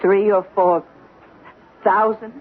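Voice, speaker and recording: A woman's voice: mid-range voice, steady tone, slow pace. A woman acting in a 1970s radio drama as a strong-willed working-class housemaid and wife, with an emphatic delivery. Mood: astonished, timid. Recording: noisy, phone-like old radio recording.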